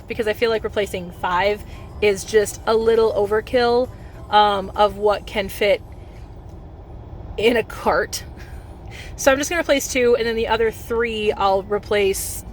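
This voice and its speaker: A young woman from Minnesota with a deep voice, chatting casually and rambling with lots of ums and restarts. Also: fairly bright tone, slight background noise. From Minnesota, USA. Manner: rambling, chatty, many ums and self-corrections. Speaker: vlogger. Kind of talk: casual chat.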